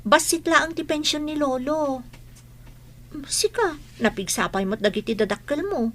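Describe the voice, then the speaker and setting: High-voiced young Filipino student, acted by a woman. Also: expressive, moderate pace. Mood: earnest. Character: young student grandchild, eager yet questioning. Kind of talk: radio drama dialogue.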